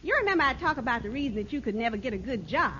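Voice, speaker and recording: An actress playing an eager, earnest wife in an old radio sitcom, gushing in folksy speech. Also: female voice, a little high, animated, rapid-fire, pushed and bright. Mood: eager, proud. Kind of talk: 1940s-50s radio sitcom acting. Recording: dull, hissy old radio broadcast.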